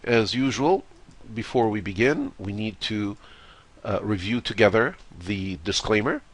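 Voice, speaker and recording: A man teaching a trading course as a voice-over to slides, hoarse and congested from a cold, speaking in a measured way with frequent "uh" fillers. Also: on the low side, lively intonation. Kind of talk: recorded slideshow lecture.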